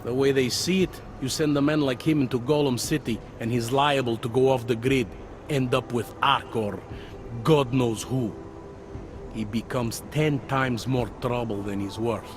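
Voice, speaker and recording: An actor playing a weary, self-deprecating older cop near retirement in a video game, talking in a wry, conversational way. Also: male voice, medium pitch, fairly even intonation, moderate pace, noisy background, natural voice. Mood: matter-of-fact. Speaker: police officer. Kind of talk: video game cutscene dialogue. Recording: background music under dialogue.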